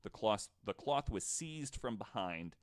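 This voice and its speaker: A man's voice: on the low side, expressive, measured pace. A man hosting a podcast, telling stories in a casual way and slipping in wry, jokey asides. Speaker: podcast host. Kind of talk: casual storytelling with jokes.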